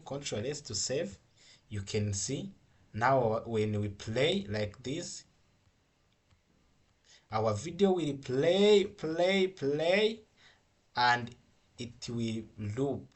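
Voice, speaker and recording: A man who is a non-native English speaker, giving an informal software tutorial in a halting, repetitive, step-by-step way. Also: medium pitch, husky. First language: not English. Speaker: coding tutorial instructor.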